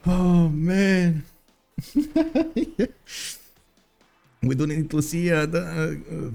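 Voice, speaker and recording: Chatty male game caster, slightly high voice. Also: gravelly, a little mellow. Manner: chatty, greets viewers by name, laughs easily. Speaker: streamer casting a strategy game match. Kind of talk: live game commentary.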